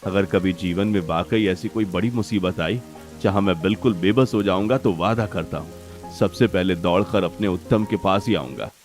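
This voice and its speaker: A man's voice: deep voice, normal speed, faint music behind, lightly acted. A man playing a proud, stubborn village father and elder brother in a cartoon family drama, speaking firmly and earnestly. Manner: firm, earnest, dignified. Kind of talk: Hindi cartoon family melodrama.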